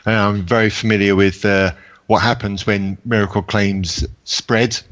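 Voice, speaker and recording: A man from Zambia speaking on a podcast panel, halting and often correcting himself. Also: on the low side, level tone, a bit bright, muffled recording. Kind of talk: casual panel chat.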